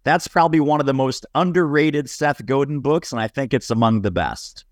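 A man's voice: medium pitch, normal speed, dry recording, studio quality. A man speaking as a guest on a business podcast, giving conversational panel answers.

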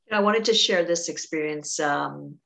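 A woman's voice: sits low, moderate pace, creaky, sharp tone. A middle-aged woman from Trinidad hosting a podcast, chatty and storytelling, talking over a remote call. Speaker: podcast host. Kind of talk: conversational interview.

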